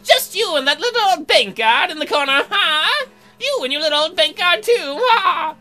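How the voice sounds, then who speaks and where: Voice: very high voice, very animated, normal speed, pushed and bright, faint music behind, voice pushed up, full character voice. A game player voicing a mocking, theatrical male bank robber gang leader from the Wild West. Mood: taunting.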